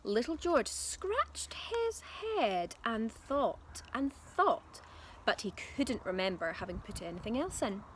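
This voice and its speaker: Female storyteller for children, expressive and animated, teacherly delivery, some clear background noise. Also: medium pitch. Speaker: primary school teacher reading aloud.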